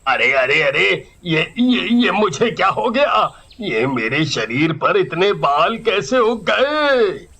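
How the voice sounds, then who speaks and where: A man voicing an excitable, wheedling village husband in a children's cartoon story, with exaggerated, drawn-out delivery. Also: high-pitched, full character voice. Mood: alarmed.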